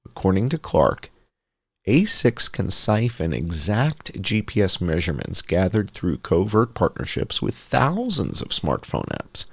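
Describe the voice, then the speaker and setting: Male narrator for long-form article reading: low register, very dry close sound, deliberate and emphatic phrasing. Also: slightly rough, dry recording. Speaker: host reading an article aloud. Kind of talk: scripted narration, read-aloud journalism.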